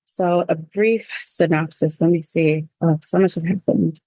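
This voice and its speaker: A woman from Cameroon who moved to the United States, telling her own story as an interview guest in a reflective, informal, pausing way. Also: fairly low pitch, steady tone, dark tone, no room sound, poor audio. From Cameroon, then the United States. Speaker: interview guest, cookbook author. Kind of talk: personal storytelling in a conversational interview.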